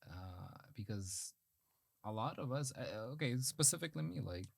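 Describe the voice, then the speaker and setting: Lowish-voiced earnest man, dry sound. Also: expressive, moderate pace, vocal fry, no echo. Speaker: podcast host. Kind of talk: casual conversation.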